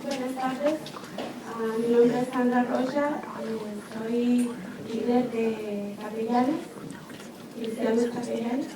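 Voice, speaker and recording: A woman's voice: on the high side, fairly even intonation, takes it slowly. A woman, a chaplain leader, giving earnest prepared public testimony at a city hearing with slight hesitations. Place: public meeting hall. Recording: very echoey hall, distant mic, noise.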